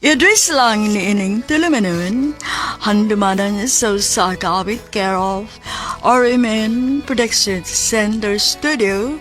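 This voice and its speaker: A woman hosting a radio drama program, announcing in a measured, formal way. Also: medium pitch, fairly bright tone, faint music behind.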